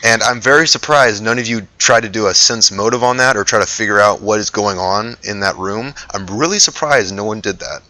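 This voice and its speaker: A man chats casually as he runs a tabletop game for a group. His voice sits very deep, yet it has a bright, forceful edge. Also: brisk, few pauses, no echo. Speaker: game master.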